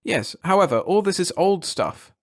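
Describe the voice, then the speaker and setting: A man doing a patient, measured chemist. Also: high-pitched, animated, pitched above the performer's own voice. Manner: patient and quiet.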